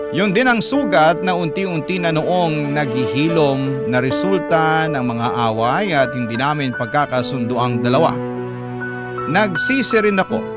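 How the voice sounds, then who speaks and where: A man reading a letter as dramatic first-person narration, in a formal, sorrowful storytelling manner. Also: fairly high pitch. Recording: studio narration under prominent music. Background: prominent instrumental music.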